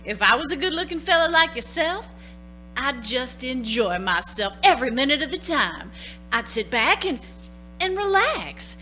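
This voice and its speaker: Plucky young woman hitchhiker played by an actress, high bright voice, slangy, brash, vintage radio drama style, faint music. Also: very animated, normal speed, heavily acted. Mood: breezy. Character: plucky, slangy young female hitchhiker, breezy then alarmed. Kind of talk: 1940s-style radio play acting. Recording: stage radio play with music.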